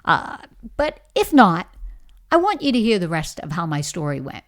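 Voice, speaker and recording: Lively Bahamian woman, encouraging speaker. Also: fairly low pitch, moderate pace. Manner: warm, encouraging, emphatic storytelling. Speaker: leadership coach. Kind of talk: motivational talk to camera.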